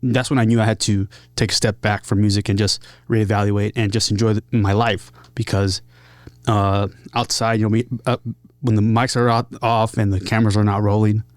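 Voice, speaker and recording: Low-voiced man, candid and halting. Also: flat delivery, dry recording. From San Diego area, United States. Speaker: podcast guest, musician. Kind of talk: casual, candid interview talk.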